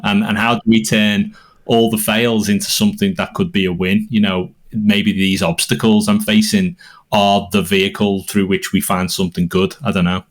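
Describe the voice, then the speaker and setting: A man's voice: on the low side, flat delivery, moderate pace. A man with a Liverpool accent, a songwriter and podcast co-host, answering questions in a thoughtful, rambling way. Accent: Liverpool English. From England. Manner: thoughtful, rambling, with fillers and restarts. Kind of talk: conversational interview answers.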